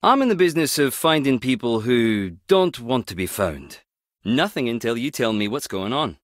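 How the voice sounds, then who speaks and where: An actor playing a wry, guarded skip tracer in a video game, teasing yet protective, speaking in a clipped, conversational way. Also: male voice, medium pitch, very animated, normal speed, dry recording, clean studio sound, natural voice. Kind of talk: video game character dialogue.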